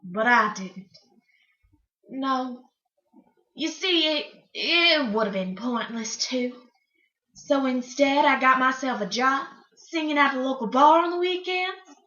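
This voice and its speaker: In a roomy recording, a woman acts out a dreamy yet defiant female country singer in a stage monologue. Her voice is very high and bright, and she tells her story in a folksy, dramatic way with hesitant pauses. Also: heavily acted. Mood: wry, chatty. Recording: roomy, slightly dull recording.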